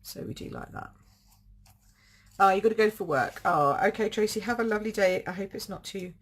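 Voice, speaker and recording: A slightly croaky woman, gushing chattily over craft supplies on a home live stream. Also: mid-range voice, fairly quick. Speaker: card-making demonstrator hosting a live stream. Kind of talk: casual live-stream show and tell.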